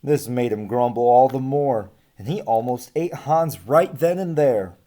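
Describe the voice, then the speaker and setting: A young man reading a children's storybook aloud in an expressive, deliberate way. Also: medium pitch. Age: young adult. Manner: animated, deliberate, asks listeners questions. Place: small room with bookshelves.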